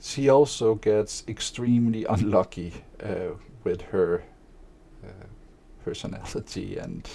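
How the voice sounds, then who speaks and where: A man speaking slowly and evenly in a monotone, with a gravelly, husky low voice. His unhurried, hesitant monologue is dark and mellow in tone. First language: Danish. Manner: hesitant, many fillers. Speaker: streamer and aspiring author. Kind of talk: casual spoken monologue.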